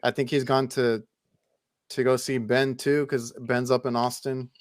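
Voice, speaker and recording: A man's voice: medium pitch, flat delivery, a little slow. A man hosting a casual chat, asking his guest friendly, easygoing questions. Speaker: podcast host.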